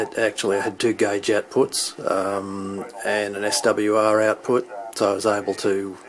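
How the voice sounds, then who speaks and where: A man with a fairly low voice and very little movement in pitch. He talks like a hobbyist walking someone through his gear without a script. His delivery is halting and hesitant, as if working out each point while he speaks. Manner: halting, hesitant, thinking aloud. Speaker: hobbyist demonstrating his radio equipment. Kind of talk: unscripted hands-on equipment walkthrough.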